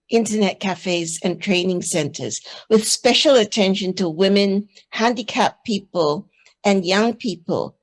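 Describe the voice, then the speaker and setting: A woman from Singapore speaking on a conference panel in an earnest, persuasive manner. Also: medium pitch.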